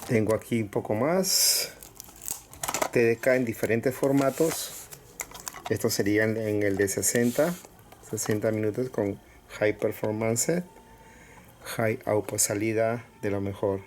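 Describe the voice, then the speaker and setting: A man casually presenting items to viewers in short, halting phrases with pauses. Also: lowish voice, fairly even intonation. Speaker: collector showing cassettes for sale. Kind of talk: casual product showcase. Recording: clear background noise with clicks.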